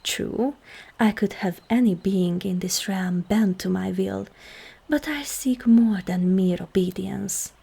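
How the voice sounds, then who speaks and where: A woman playing a seductive, regal demon queen in an ASMR roleplay, calm and commanding, with slow, formal speech that often drops into breathy whispers. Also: mid-range voice, heavily acted. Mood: persuasive, regal. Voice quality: breathy, husky.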